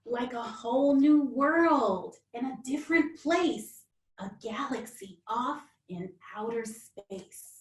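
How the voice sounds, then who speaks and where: Lady author doing a kids read-aloud, super expressive high voice, echoey and a bit far from the mic. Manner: expressive, deliberate phrasing for children. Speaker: children's author, guest reader. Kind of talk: animated storybook read-aloud. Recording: roomy, distant microphone.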